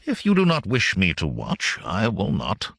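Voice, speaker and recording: A man's voice: deep voice, huge pitch swings, a little slow, fairly bright tone, no echo, clean studio sound, exaggerated voice. A man voicing a blunt, ardent young warrior from the hills in an audiobook, with grave, formal speech. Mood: stern, exasperated.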